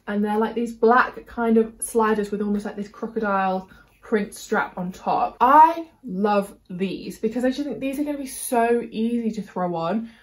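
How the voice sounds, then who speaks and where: A woman in her twenties with a British accent, chatting casually through a fashion haul in a bubbly, rambling way and filling her talk with "like" and "kind of". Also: a little high. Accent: British English. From United Kingdom. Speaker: beauty and fashion vlogger.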